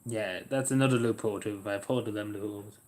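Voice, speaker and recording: Rambling man, lowish voice. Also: steady tone, quick pace, darkish tone. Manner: rambling and hesitant, many fillers. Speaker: livestreamer. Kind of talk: casual livestream chat.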